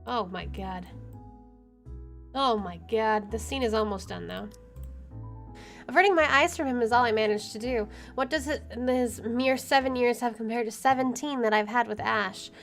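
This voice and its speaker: High-voiced woman reading game text aloud. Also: normal speed, faint music behind. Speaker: gaming video host.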